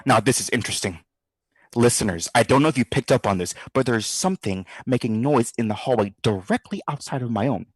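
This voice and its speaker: An actor playing a brash, sardonic skeptic who talks in slangy, theatrical quips in an audio drama diary. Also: male voice, medium pitch, fairly quick, husky, no room sound, natural voice. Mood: bored, sardonic. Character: brash wisecracking skeptical man, boozy ghost-study participant.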